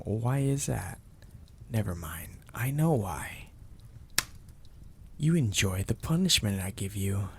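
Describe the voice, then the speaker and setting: A man playing a possessive, domineering mafia boss in an intimate ASMR roleplay, speaking deliberately and with teasing menace in a gravelly, husky, breathy voice. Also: fairly low pitch, slight background noise, full character voice. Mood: possessive, smug. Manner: slow, deliberate, menacing, florid wording.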